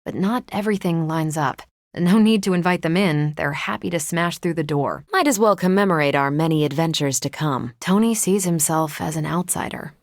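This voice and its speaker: A woman's voice: sits low, normal speed, dry recording, clean studio sound. A woman voicing a confident, wry, brash military superhero in casual banter for a video game. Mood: serious, thoughtful.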